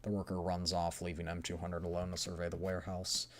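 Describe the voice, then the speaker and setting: A man's voice: low voice, monotone, quick pace. A man streaming a game, reading the story aloud and chatting casually as he thinks aloud. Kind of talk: game readthrough with casual commentary.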